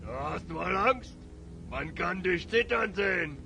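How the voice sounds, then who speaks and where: A man playing a menacing, blustering robber chief in a fantasy audio drama, with a gravelly, sharp voice and a taunting, theatrical delivery. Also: sits high, expressive, slow, over music, exaggerated voice. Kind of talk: German children's fantasy audio drama acting.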